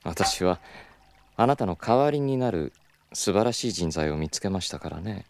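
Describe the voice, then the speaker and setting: Low-voiced, suave Kyoto schemer. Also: male voice, lively intonation, moderate pace. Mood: smooth, persuasive. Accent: Kyoto Japanese. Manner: smooth polite speech slipping into teasing Kyoto dialect. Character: suave, stubborn club boss, flirtatious and scheming. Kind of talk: audio drama acting.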